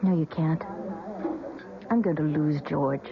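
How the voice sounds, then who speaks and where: A woman's voice: low voice, slow pace, creaky, exaggerated voice. An actress playing an anxious, fragile young married woman in a radio drama. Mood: despairing. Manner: anxious, pleading. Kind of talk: 1970s radio drama acting. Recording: lo-fi old radio broadcast with noise.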